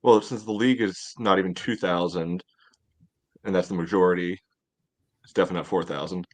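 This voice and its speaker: Dry, deep-voiced man bantering. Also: steady tone, brisk. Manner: dry, sarcastic. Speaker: podcast co-host. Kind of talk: casual sports banter.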